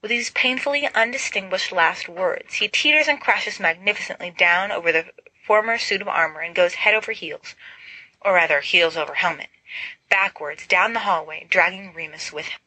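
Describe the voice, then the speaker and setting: A woman narrates a story with expressive, scripted delivery. Her voice is bright and forward, and the sound is close and dry, with hardly any room to it. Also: on the low side, moderate pace, slightly rough, no echo, poor audio. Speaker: fan fiction audiobook reader.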